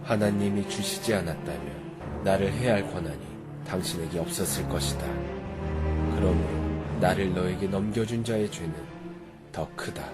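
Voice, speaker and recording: Bible story drama for children: man playing merciful, unshaken holy man, low male voice, solemn and measured, music behind. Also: natural voice. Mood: calm, resolute. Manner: slow measured solemn phrases.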